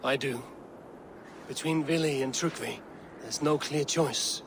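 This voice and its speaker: A man playing a resolute Viking warrior in a video game cutscene, speaking in a measured, commanding way. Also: on the high side, steady tone, creaky, noisy background. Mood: earnest. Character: resolute Viking warrior, stern adult male peacemaker.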